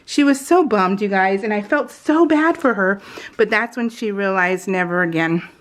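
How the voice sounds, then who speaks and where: A middle-aged American woman telling personal stories in a casual, informal, animated way, slipping into retold dialogue. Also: mid-range voice, moderate pace. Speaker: craft video host. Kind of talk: casual chatty storytelling.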